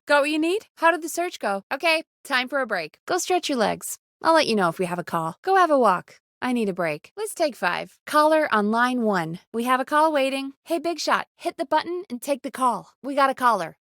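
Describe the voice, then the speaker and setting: A woman voicing a video game character, a brisk, wry late-night radio producer who talks in a bossy, teasing, conversational way. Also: high voice, fairly bright tone, no room sound. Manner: bossy, teasing, dry sarcasm. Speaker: radio show producer giving cues.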